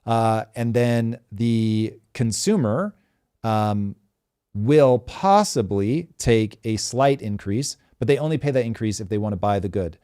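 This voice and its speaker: Low-voiced guy hosting a live show, animated, dry close mic. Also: unhurried, clean tone, dry recording. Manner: animated, explains at length with examples. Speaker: show host.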